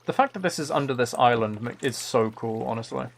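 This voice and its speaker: A man's voice: mid-range voice, brisk, creaky, a little hiss or hum. A man chatting casually as he live-streams a game, thinking aloud in an easygoing running commentary. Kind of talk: casual chat while playing a game live.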